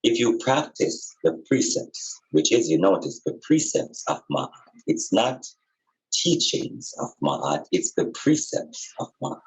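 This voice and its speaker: A man's voice: on the low side, sing-song, slow pace, gravelly, rough recording. A man speaking as a spiritual teacher in conversation, earnest and emphatic, repeating phrases for effect.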